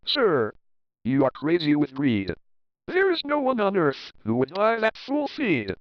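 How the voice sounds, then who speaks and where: A man's voice: on the high side, wildly swooping pitch, a little slow, highs cut off, voice pushed up. A man voicing a short, old, bossy and indignant forest creature in a storybook reading. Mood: scornful. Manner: bossy, scolding, protesting.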